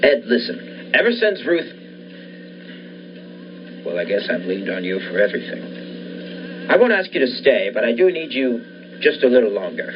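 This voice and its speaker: A man's voice: medium pitch, big pitch swings, a little slow, long pauses. A young man playing an obsessed, commanding scientist and surgeon in the style of a 1940s radio thriller. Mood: earnest, determined. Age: young adult. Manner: commanding, insistent. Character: obsessed scientist surgeon bent on reviving his dead wife. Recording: dull lo-fi audio, faint noise.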